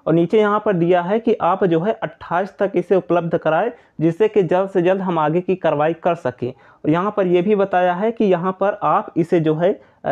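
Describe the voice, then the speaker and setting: A man giving an informal, repetitive, reassuring explainer to viewers as an exam news presenter. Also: high voice. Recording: tinny, phone-like narrow band.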